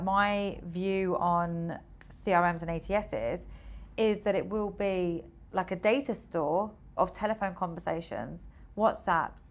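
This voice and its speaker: Lower-pitched woman chatting as guest. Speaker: tech founder interviewed as guest. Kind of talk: casual conversational interview.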